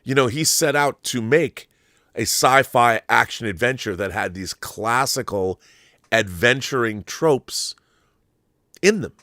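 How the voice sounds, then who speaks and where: A man's voice: mid-range voice, expressive, slow, bright, forceful tone, no room sound, clean studio sound. A man hosting a film commentary show, talking in a casual, opinionated monologue with an effusive, emphatic, rambling manner and some swearing.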